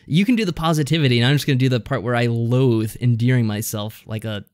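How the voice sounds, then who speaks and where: Dry close sound, male, medium pitch, sarcastic, casual podcast co-host. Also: fast, a little mellow, no echo. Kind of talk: casual chat.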